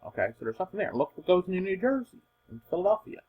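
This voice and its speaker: A man with a husky, rough-edged voice talks through a weather forecast off the cuff. His pitch moves around a great deal as he stresses his points. He sounds like an informal forecaster, emphatic and given to repeating himself. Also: very animated, very fast, narrow, tinny audio. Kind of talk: informal weather model commentary, unscripted.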